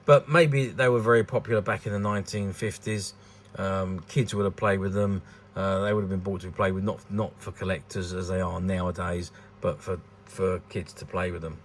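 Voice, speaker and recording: A man's voice: sits low, fairly even intonation, moderate pace, slight background noise. A British man in his sixties giving rambling, hesitant, unscripted commentary on a collectable, often repeating words. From United Kingdom. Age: 60s. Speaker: vintage toy dealer presenting a collectable. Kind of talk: unscripted informal product commentary.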